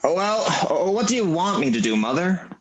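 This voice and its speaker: A man's voice: high voice, big pitch swings, brisk, poor audio. An actor playing an awkward, moping young man in an old-fashioned radio play, hesitant and stammering.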